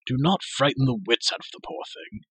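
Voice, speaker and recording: A man voicing a calm, wise warrior lord who speaks in a measured, grave and gentle way. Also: mid-range voice, slightly rough, no room sound, voice pushed up, rough recording. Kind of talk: audiobook character voice.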